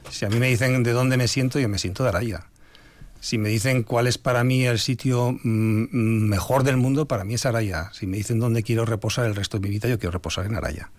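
An older Spanish man, an author being interviewed, talking in a reflective, measured way with some hesitations. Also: medium pitch, fairly even intonation, no room sound. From Spain. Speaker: author guest. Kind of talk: radio interview.

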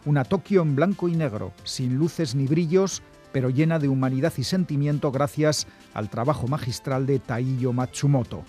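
A man's voice: medium pitch, normal speed, dark tone. A man reading a scripted review as a book critic, with faint instrumental music behind him.

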